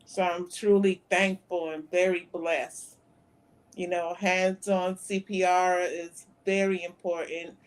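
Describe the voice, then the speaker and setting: A woman in her fifties, a guest on a talk show, recounting events in a plain, soft-spoken way. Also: lowish voice, level tone, slow pace, poor audio. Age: 50s. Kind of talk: interview conversation.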